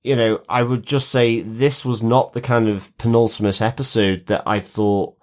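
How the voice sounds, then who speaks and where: A man's voice: medium pitch, steady tone, normal speed, darkish tone. A man co-hosting a podcast, discussing television in a reflective, qualifying way. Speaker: podcast co-host.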